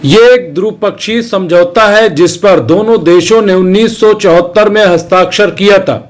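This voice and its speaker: A man reading a scripted news explainer in a formal, measured way, in short phrases. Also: high-pitched. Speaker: news narrator.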